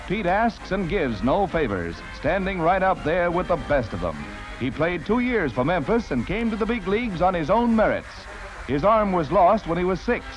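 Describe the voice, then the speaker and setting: A male American newsreel narrator from the 1940s, delivering scripted copy with theatrical punch. His voice sits high and swings widely in pitch, with music playing clearly behind it. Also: poor audio. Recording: vintage 1940s newsreel soundtrack.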